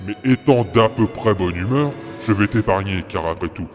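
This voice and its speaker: A man's voice: fairly low pitch, normal speed, in a large hall, close to an everyday voice. A man playing a cold, scheming, condescending villain master in an amateur audio drama, speaking imperiously. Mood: cold, menacing. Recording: faint music behind the voice.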